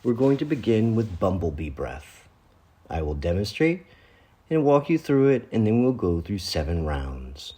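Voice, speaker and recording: Male meditation guide: lowish, mellow voice, gentle and unhurried delivery with pauses, recorded with noticeable room echo. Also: very animated. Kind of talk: guided meditation, spoken instruction. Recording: roomy, echoing room sound.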